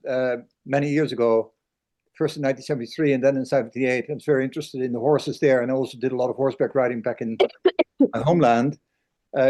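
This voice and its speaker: A man's voice: mid-range voice, a little mellow. Older man, rambling question. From Netherlands. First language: Dutch. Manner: rambling, many fillers. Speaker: audience member asking a question. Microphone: video call.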